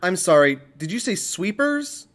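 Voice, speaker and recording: A man hosting a comedy podcast in a mock interview style, informal and halting, with an exasperated manner. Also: high voice, big pitch swings, a bit bright. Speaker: podcast host.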